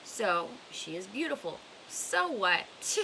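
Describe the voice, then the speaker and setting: Woman reading as a blunt old woman, bright voice. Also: medium pitch, sing-song, slow, gravelly, a little hiss or hum, exaggerated voice, poor audio. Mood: scornful, emphatic. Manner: emphatic, questioning, instructive. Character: blunt, scornful old woman advising a lovestruck boy.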